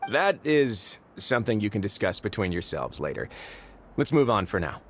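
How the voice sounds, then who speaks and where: A calm, wry prison warden. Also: male voice, on the low side, a little hiss or hum. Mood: measured. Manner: measured, dry, authoritative. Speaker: prison administrator. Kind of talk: game voice acting. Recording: studio game audio with faint music.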